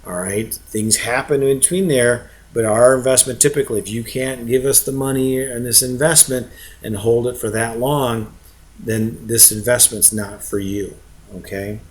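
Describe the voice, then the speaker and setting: A man's voice: medium pitch, fairly even intonation, normal speed. Conversational man explaining to prospective investors. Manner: conversational, frequent rhetorical check-ins. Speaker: real estate investment presenter.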